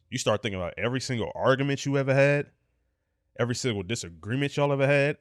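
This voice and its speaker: A man's voice: mid-range voice, no room sound, studio quality. A man in his thirties co-hosting a podcast, talking candidly and emphatically, swearing freely in casual chat. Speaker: podcast co-host.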